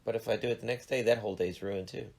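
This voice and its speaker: A man's voice: lowish voice, fairly even intonation, racing, gravelly, a little mellow. A man in his forties hosting a casual podcast chat, a bit nasal from allergies, joking and self-deprecating. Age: 40s. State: stuffed up, slightly nasal from allergies. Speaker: podcast host.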